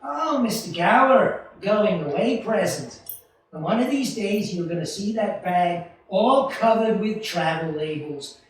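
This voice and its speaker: A man acts out an eager young dreamer in a vintage radio play. He is earnest and folksy, with a high-pitched voice. The sound is distant and very echoey. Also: expressive, unhurried. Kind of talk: 1940s radio play acting on stage.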